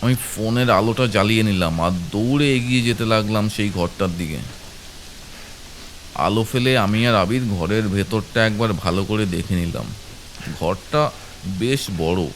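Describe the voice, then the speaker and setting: A man's voice: on the low side, moderate pace, a little hiss or hum. A man narrating a scripted first-person horror story.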